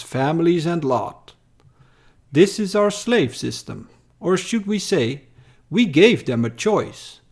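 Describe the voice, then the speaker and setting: A man reciting a scripted poem in a measured, deliberate way. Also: fairly high pitch, a little mellow. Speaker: poem reader.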